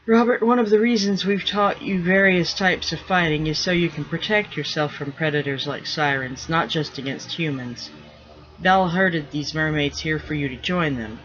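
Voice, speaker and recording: Matter-of-fact storybook pirate captain and father voiced by a man, wry delivery, clear forceful tone, lo-fi recording. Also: a little high. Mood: frank, grave. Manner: dry, unhurried, matter-of-fact. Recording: dull lo-fi recording.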